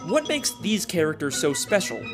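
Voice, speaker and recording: Higher-pitched young man narrating over music. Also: sing-song, normal speed. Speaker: video essay narrator. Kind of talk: scripted explainer narration.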